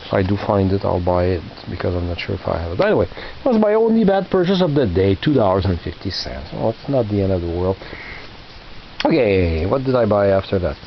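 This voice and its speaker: A man in his fifties with a slightly low voice talks through his flea market finds in a halting, rambling way, full of fillers and restarts. The recording sounds a little muffled, with a faint hiss underneath. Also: big pitch swings, gravelly. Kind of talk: casual rambling show-and-tell.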